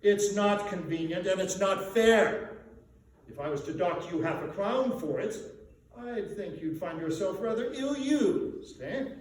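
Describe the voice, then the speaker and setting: A man's voice: high voice, expressive, a little slow. A man giving a dramatic storybook reading as a miserly, scornful old businessman with a barking, contemptuous manner. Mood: grudging, accusing. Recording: echoey room, distant mic, faint noise.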